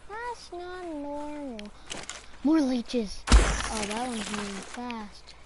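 A woman talking over her gameplay in an unhurried, rambling way, half to herself. Her voice is hoarse and worn from a throat infection. A clear layer of noise sits under the recording. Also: high voice. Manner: rambling, talks to herself while playing. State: hoarse, recovering from strep throat. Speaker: gamer. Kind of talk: casual gameplay commentary.

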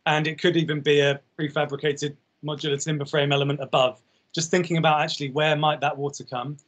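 A panelist on a video call answers loosely, thinking aloud and restarting his sentences. His voice sits fairly high for a man. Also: level tone, quick pace, a bit bright.

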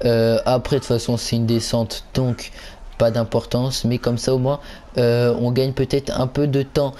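A man's voice: medium pitch, flat delivery, moderate pace, dark tone. A man giving casual commentary on a video game, hesitant and stumbling, often repeating himself. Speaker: gaming channel host.

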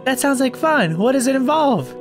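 A man reading the part of an earnest, dutiful young princess in a video game fan story, softly spoken. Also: extremely high pitch, sing-song, moderate pace, over music, pitched above the performer's own voice. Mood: eager. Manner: soft, earnest.

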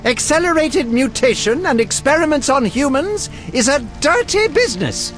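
High-pitched eccentric alchemist. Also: male voice, big pitch swings, moderate pace, fairly bright tone, soft background music, heavily acted. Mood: scholarly, fascinated. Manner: erudite, precise, relishing grisly detail. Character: eccentric, morbidly curious male alchemist scholar. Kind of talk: video game dialogue acting.

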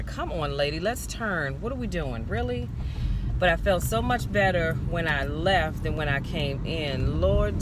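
A woman in her forties chatting in a casual, encouraging, folksy way while she drives, filmed on a dashboard camera inside a car. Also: deep voice. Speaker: vlogger. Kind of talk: casual chat while driving. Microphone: phone or camera on the dashboard. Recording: loud car and road noise.

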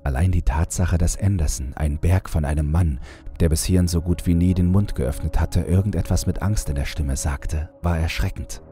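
A man's voice: sits low, normal speed, mellow, faint music behind. A man reading scripted first-person horror fiction as an audiobook narrator.

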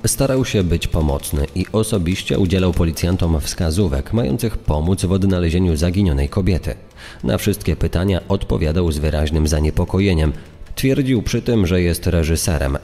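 A man with a very low voice reads scripted true-crime narration. His tone is dark and mellow. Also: expressive, moderate pace, soft background music. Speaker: secondary narrator.

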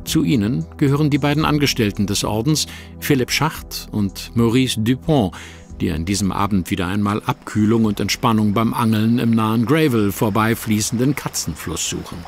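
A man's voice: on the low side, moderate pace. A man narrating an audio drama from a script, as a storyteller setting the scene. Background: music.

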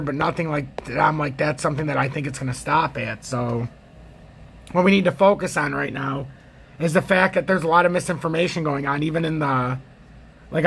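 American guy talking through trading charts off the cuff, higher voice but gravelly, kinda rambly and opinionated. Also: fairly bright tone, slight background noise. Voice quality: gravelly, husky. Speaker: crypto trading video host. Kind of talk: casual off-the-cuff chart commentary.

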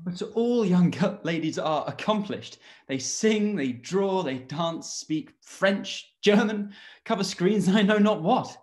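A young man playing a kindly, courteous young gentleman in a period drama read over video call. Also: sits high, animated, slow. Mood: enthusiastic. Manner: courteous, eager. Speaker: actor in live read.

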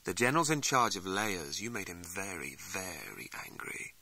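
A man's voice: sits low, lively intonation, normal speed, creaky, sharp tone, heavily acted, poor audio. A man voicing a suave, scolding older male mentor in an audiobook, arch, courtly and condescending. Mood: insistent, knowing. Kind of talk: audiobook character dialogue.

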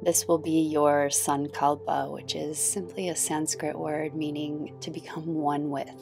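A woman guiding a yoga nidra meditation in a soothing, gentle, unhurried manner. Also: deep voice, level tone, gravelly. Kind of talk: guided meditation. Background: background music.

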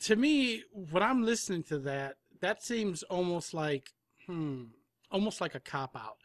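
A man's voice: sits high, lively intonation, measured pace, no echo, clean studio sound. A man talking casually as an interview guest, thinking aloud as he explains his points. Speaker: audio drama producer, panel guest.

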